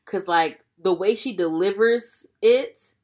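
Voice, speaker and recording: A woman's voice: medium pitch, slow, a little mellow. A young woman co-hosting a podcast, chatting animatedly about music and often repeating herself. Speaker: podcast co-host. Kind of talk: casual chat about music.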